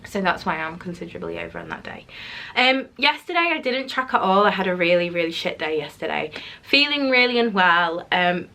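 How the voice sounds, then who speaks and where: A woman's voice: on the low side, wildly swooping pitch, brisk, pushed and bright. A woman vlogging her weekly weigh-in in a rambling, casual way, sounding slightly nasal as she recovers from illness. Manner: chatty, rambling, many ums and restarts. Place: home office.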